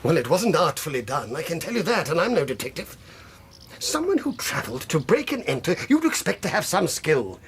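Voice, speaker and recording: An actor playing an irascible older English country squire in a radio drama, gruff and indignant, with a raspy, gravelly voice. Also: male voice, a little high, huge pitch swings, brisk, a bit bright, a little hiss or hum, heavily acted. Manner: gruff, indignant, old-fashioned bluster.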